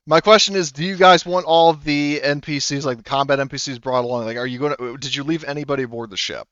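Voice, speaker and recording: A man working as game master in a tabletop role-playing game, casually narrating and thinking aloud haltingly through the rules. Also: fairly high pitch, steady tone, fairly bright tone, clear, resonant tone, no echo, highs cut off.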